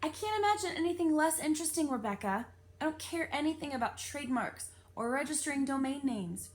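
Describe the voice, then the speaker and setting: A woman's voice: high-pitched, expressive, fairly quick, voice pushed up. A woman voicing a bookish, earnest nine-year-old girl in a storybook, with an emphatic, insistent delivery. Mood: exasperated.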